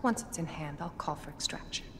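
A woman's voice: deep voice, lively intonation, a little fast. An actress playing a cool, businesslike female agent reporting in during a dramatic video game cutscene. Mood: cool, focused. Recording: reverberant space, loud ambient noise.

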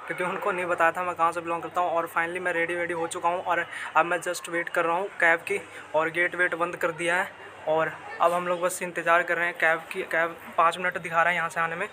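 Young man from Bihar, high flat voice, casual phone vlog over loud street traffic. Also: brisk. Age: young adult. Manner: chatty, repeats himself, mixes in English words. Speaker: travel vlogger. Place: outdoors in the city, then inside a moving car. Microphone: phone held at arm's length. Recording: city traffic noise behind.

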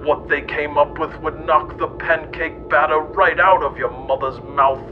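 A man's voice: steady tone, measured pace, music in the background. A man reading a scripted mock-history tale in a theatrical, mock-serious manner. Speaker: narrator and host. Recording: dull, noisy, rumbly old-radio sound.

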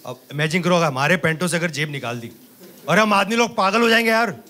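A man in his thirties performing an animated stand-up comedy set on a club stage with a handheld mic, teasing the audience. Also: high voice, quick pace. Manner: animated, gestures a lot, teases the audience. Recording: loud crowd laughter and noise. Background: audience laughter.

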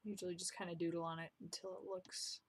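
A young woman casually commenting on her own drawing as she works, chatty and self-deprecating, with quiet muttered asides, recorded at home on a desk mic. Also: on the low side, flat delivery, quick pace, husky. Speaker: drawing vlogger. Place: home room, indoors.

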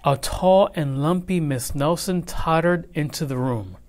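A man reading a children's picture book aloud in an expressive, measured, dramatic way. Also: on the high side, background noise. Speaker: read-aloud storyteller.

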